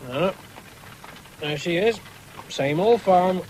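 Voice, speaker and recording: An eager, high-voiced young farmer. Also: male voice, animated, takes it slowly, a little mellow. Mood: excited, boastful. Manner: enthusiastic, cajoling, cocky. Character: eager, boastful young farmer son, keen on machines. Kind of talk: 1970s British radio drama acting. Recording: noisy vintage radio broadcast.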